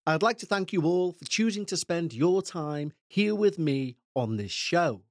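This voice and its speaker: A man with a Liverpool accent hosting a podcast, reading true-crime narration in a measured, dramatic way. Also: fairly high pitch, expressive, dry recording, clean studio sound. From England. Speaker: podcast host.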